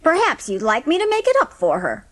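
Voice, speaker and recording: A woman playing a brisk, confident village matron in an audiobook dramatisation, chatty, emphatic and sure of herself. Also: sits high, huge pitch swings. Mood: brisk, obliging.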